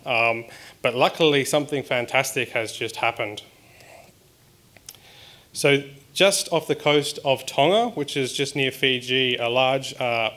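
A man's voice: mid-range voice, pushed and bright. A man in his thirties with an Australian accent, giving a conversational conference talk with frequent ums and restarts. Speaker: research scientist presenting at a symposium. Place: conference hall stage.

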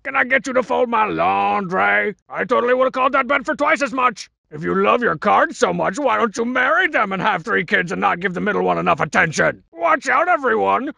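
A swaggering, scheming cartoon masked wrestler for a video game. The voice is pitched high and heavily gravelled, swooping widely as it boasts and mocks. It is petty and full of itself. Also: male voice, animated, fairly quick, hardly any pauses, sharp tone, dry recording, heavily acted. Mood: mocking. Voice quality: gravelly, raspy. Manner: boastful, mocking, swaggering wisecracks. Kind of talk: video game character barks.

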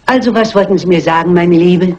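A woman's voice: on the low side, a little fast, a little mellow, close to an everyday voice. Elderly female amateur detective an actress's role: shrewd, composed, polite, precise. Old crime-film dub, muffled sound. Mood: composed, reassuring. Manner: polite, precise, matter-of-fact.

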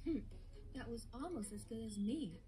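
A woman's voice: on the high side, expressive, moderate pace, warm tone, close to an everyday voice. A woman voicing a proud, aloof teenage witch girl with a cool, haughty manner in a comic dub. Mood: smug. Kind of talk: animated comic dub voice acting.

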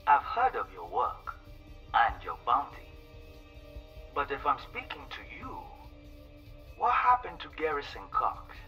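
A man's voice: a little high, huge pitch swings, measured pace, pauses often, far from the mic, highs cut off, soft background music. An actor playing a smug, menacing male pirate captain in a game, taunting theatrically over a radio transmission. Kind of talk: dramatic game voice acting.

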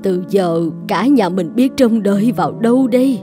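A woman voicing a motherly older eatery owner in a cartoon, caring and gently insistent. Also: mid-range voice, expressive, moderate pace, music in the background, close to an everyday voice. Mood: worried. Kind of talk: animated moral-tale dubbing.

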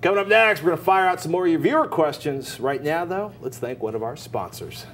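A man's voice: fairly high pitch, brisk, a bit bright, slight background noise. A middle-aged American man co-hosting a tech show at a studio desk, presenting and giving advice casually.

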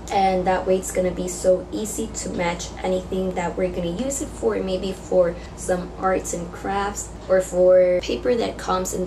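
Young female beauty vlogger, hoarse from illness, casual and brisk, mid pitch, noisy home recording. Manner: chatty, quick product run-through. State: raspy voice from being sick. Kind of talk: casual haul vlog. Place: small indoor room against a plain wall.

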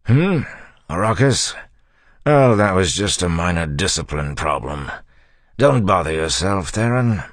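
A man's voice: deep voice, big pitch swings, measured pace, no room sound, studio quality, pitched above the performer's own voice, heavily acted. A man voicing a fat, arrogant, cruel male crew leader who talks in a dismissive, sneering way. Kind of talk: audiobook character voice.

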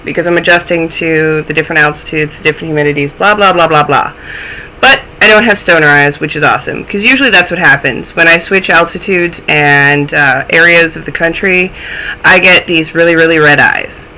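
A woman's voice: low-pitched, fairly quick, bright, forceful tone, slight background noise, rough recording. A young woman hosting a knitting podcast from home, chatting casually to a webcam with a stuffy, snuffly voice and self-deprecating asides. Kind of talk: casual chat to camera. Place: small room at home, indoors.

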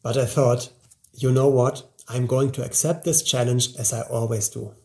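Man, 40s, presenter for a course ad; low-key mellow tone with gravel, earnest pitch to camera. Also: flat delivery, moderate pace. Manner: earnest, upbeat sales pitch. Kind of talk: scripted promotional talk to camera. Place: indoor studio, plain grey backdrop, seated at a table.

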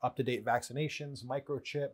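A man's voice: medium pitch, steady tone, brisk, husky. A man around forty explaining things as an interview guest, often checking in with a "right?". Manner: often tags statements with "right?". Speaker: animal shelter representative, interview guest. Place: small indoor studio-office, seated.

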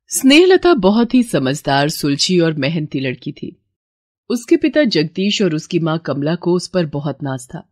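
A woman narrating a cartoon moral story in steady scripted style. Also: deep voice, no room sound, studio quality.

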